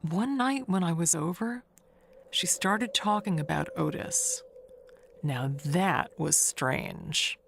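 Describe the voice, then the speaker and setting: A woman's voice: fairly low pitch, animated, slow, vocal fry, fairly bright tone, slight background noise, heavily acted. A woman playing a chatty older neighbor in an audio mystery, rambling confidingly and correcting herself with a wistful edge. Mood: wistful, evasive.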